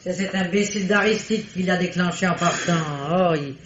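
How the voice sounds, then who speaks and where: An actress playing a fussy, chattering housekeeper who worries, in a 1950s radio drama. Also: female voice, on the low side, steady tone, a little fast, natural voice. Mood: fussing. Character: fussing, worried female housekeeper. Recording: muffled old radio recording with faint hiss.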